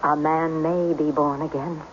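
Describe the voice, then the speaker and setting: A woman's voice: deep voice, level tone, measured pace. An actress playing an intense, mysterious woman who probes souls in solemn, commanding phrases, in vintage radio drama style. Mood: solemn, hypnotic. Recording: dull, faintly noisy old radio recording.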